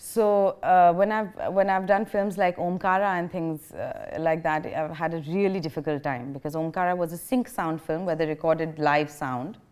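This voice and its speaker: A woman's voice: deep voice, fairly even intonation, normal speed, hardly any pauses, darkish tone, clean tone. A woman in her thirties from India, whose first language is Bengali, giving a public lecture in a chatty, self-deprecating way, with frequent laughs, fillers and restarts. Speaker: guest speaker. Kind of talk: public lecture, personal anecdotes. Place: lecture hall.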